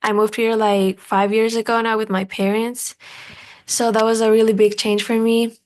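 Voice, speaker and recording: A woman's voice: fairly high pitch, little pitch movement, normal speed. Hesitant young woman from Ecuador. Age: late teens. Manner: hesitant, uses 'like' and 'I guess'. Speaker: scholarship student. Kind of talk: short prepared remarks.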